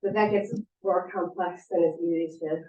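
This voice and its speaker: A woman's voice: on the low side, steady tone, normal speed, mellow. A woman sleep therapist casually answering audience questions, talking informally with fillers and restarts. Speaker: sleep therapist giving a talk. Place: meeting room at a support center. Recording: echoey room, distant mic, muffled.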